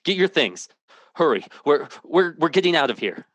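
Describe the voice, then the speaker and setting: An actor playing a frightened, protective husband and father in an old-time radio drama, urgent and halting. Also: a little high, big pitch swings, a bit bright, no room sound.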